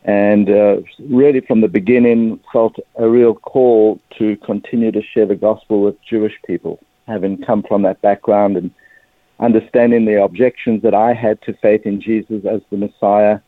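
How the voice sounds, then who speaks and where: A man's voice: lowish voice, steady tone, normal speed, dry recording. A man in his fifties with a South African accent, speaking earnestly and a little emotionally as a radio interview guest. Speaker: guest, ministry director. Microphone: phone line. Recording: tinny dry phone line.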